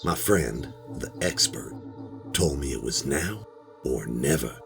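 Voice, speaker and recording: A man's voice: a bass voice, lively intonation, slow, gravelly, full character voice. A man playing a middle-aged hobbyist who tells a first-person horror story in a folksy, rambling, conversational way. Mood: hushed, uneasy. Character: laid-back middle-aged male metal detector hobbyist recounting a strange find. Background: music.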